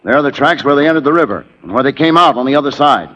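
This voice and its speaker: An actor playing a proud, prickly Union colonel with a clipped, superior manner, in 1940s radio style. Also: male voice, mid-range voice, expressive, very fast, a bit bright, natural voice. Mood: certain. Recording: noisy 1940s radio broadcast.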